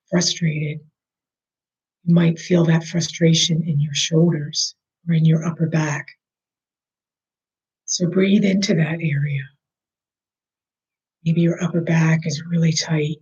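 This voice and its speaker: A meditation guide leading a slow, soothing breathing meditation, speaking softly and at times almost in a whisper. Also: medium pitch, muffled recording.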